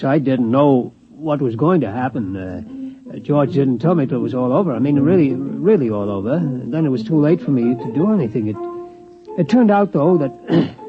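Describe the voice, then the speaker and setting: An actor in an old radio drama playing a humble, folksy security guard who speaks hesitantly and deferentially. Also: male voice, on the high side, lightly acted. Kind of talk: 1950s radio drama acting and narration. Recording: dull vintage radio recording with music.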